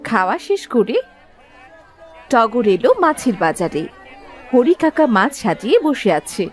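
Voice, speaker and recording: Animated higher-voiced woman storyteller. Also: a little slow, some chatter behind. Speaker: story narrator. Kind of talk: scripted cartoon storytelling narration. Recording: music and background ambience.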